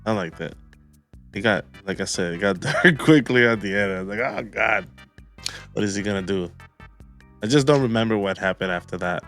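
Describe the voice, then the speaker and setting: A man with a fairly low voice talks casually as a reaction show panelist. Faint music runs behind his voice. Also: lively intonation, normal speed, pauses often, fairly bright tone. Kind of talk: casual chat.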